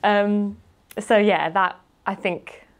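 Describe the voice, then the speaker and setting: A woman's voice: mid-range voice, steady tone, slow pace. A woman in her twenties with a British accent, speaking informally and telling anecdotes as a guest in a casual interview. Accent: British English. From grew up partly in Spain, lives in the UK. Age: 20s. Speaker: interview guest, marketing manager.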